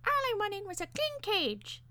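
A woman voicing a small male talking parakeet with a squeaky, elfin voice, whiny, spiteful and wily, in a story reading. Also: wildly swooping pitch, unhurried, pushed and bright, full character voice. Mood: aggrieved, arguing. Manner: exclaiming, whining, chattering.

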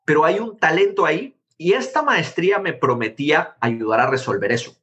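Bright-voiced Guatemalan man telling stories. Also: fairly high pitch, muffled recording. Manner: animated, quick, jumps between anecdotes. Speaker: interview guest, tech founder. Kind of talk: conversational storytelling.

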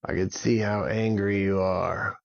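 A man voicing a caring, reassuring friend in a children's story, speaking earnestly and repeating himself gently. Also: lowish voice, level tone, measured pace, vocal fry, muffled recording. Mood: soothing. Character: calm, caring male friend soothing a dragon.

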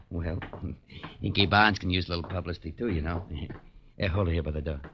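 A man's voice: sits low, normal speed, muffled recording. A man playing a sly, wisecracking baggy-pants burlesque comic with slangy wise-guy delivery, in 1940s radio acting style. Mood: sly, chummy. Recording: very noisy, clicking old radio recording.

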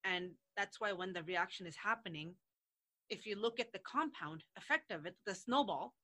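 A woman's voice: medium pitch, animated, moderate pace, bright, forceful tone. A woman guest on a podcast, talking conversationally and often repeating words while she searches for her phrasing.

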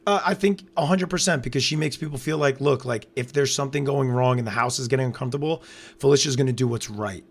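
A hoarse man co-hosting a live podcast recap, breaking down the game in a laid-back, conversational way, close to his microphone. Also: medium pitch, fast talker. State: hoarse after losing his voice. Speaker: podcast co-host recapping a reality show. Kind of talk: casual live-stream chat.

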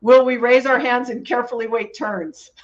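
A woman hosting a webinar, briskly reading out audience questions. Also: a little high, steady tone, highs cut off. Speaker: webinar host. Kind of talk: moderating a webinar.

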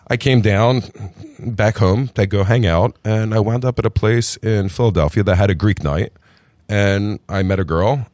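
A middle-aged man with a very low, deep voice tells his story in an easygoing, chatty way. The sound is dry and close, with no room around him. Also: dry recording. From New Jersey. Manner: relaxed, chatty, calls the host "brother". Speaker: restaurant group founder, podcast guest. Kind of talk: casual interview storytelling.

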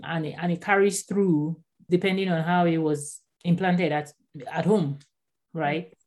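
A Nigerian-born woman in her thirties whose first language is Yoruba, speaking as an author and guest in a conversational interview, animated and quick to laugh. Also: fairly low pitch. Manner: animated, laughs often, repeats words when starting a thought.

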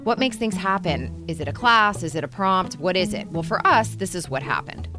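A woman co-hosting a podcast, introducing it and bantering in a casual, blunt and animated way. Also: fairly low pitch, normal speed, over music. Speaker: podcast co-host and editor.

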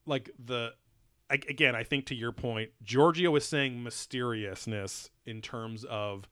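A man's voice: medium pitch, measured pace, a bit bright, no room sound, studio quality. A man chatting casually as a podcast host, rambling and swearing freely, with lots of fillers and restarts. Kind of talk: casual chat reviewing a TV show.

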